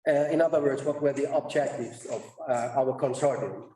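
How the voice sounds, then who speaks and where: Male conference presenter, halting delivery, mellow tone, distant mic in an echoey room. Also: mid-range voice. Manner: halting, pausing mid-sentence to restart.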